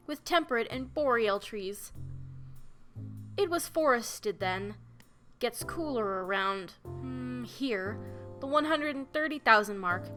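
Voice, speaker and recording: A woman with a cold voicing an earnest young male geologist who explains things patiently in a measured, tidy way, her voice a little nasal. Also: a little high, sharp tone, exaggerated voice.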